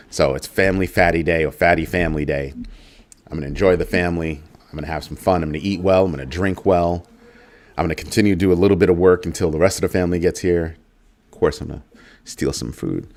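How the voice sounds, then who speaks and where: A middle-aged man from the United States hosting a crypto livestream, talking casually to his viewers and repeating phrases with playful asides. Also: a little mellow. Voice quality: deep voice. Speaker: crypto show host.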